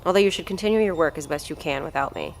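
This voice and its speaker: An actress playing an arrogant, impatient research doctor, haughty and cutting in an audio drama. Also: female voice, deep voice, very fast, slight background noise, lightly acted. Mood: cool, dismissive. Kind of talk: scripted audio drama dialogue.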